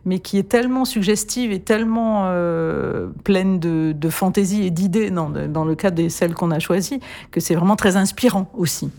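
A woman's voice: fairly low pitch, normal speed, dark tone. A female singer in an interview who talks thoughtfully and articulately, with some hesitations. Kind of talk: interview explanation.

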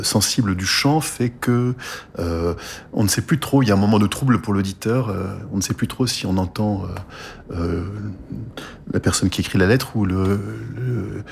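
A male guest on a cultural interview who explains his ideas in a halting way, with many hesitations and restarts. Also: fairly low pitch, gravelly, slight background noise. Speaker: guest musician.

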